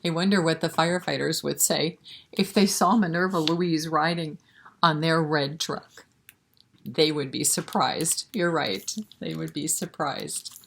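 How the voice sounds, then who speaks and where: A woman with a slightly low voice leads a story time for young children. She takes her time, reading expressively and pausing to put questions to the listeners and encourage them. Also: fairly even intonation, creaky, a bit bright, a little hiss or hum. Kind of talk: animated picture-book read-aloud with asides to children.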